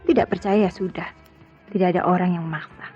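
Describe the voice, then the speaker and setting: A woman's voice: lowish voice, steady tone, quick pace, a little mellow, close to an everyday voice. A woman acting a married doctor in an old-style radio drama, guarded and curt as she deflects. The sound is dull and lo-fi, with music playing behind her. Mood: dry, retorting.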